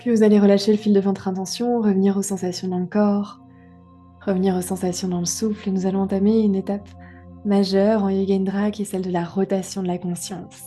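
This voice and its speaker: A woman guiding a relaxation meditation, giving gentle, unhurried instructions with pauses. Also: medium pitch, level tone, soft background music. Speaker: yoga nidra guide.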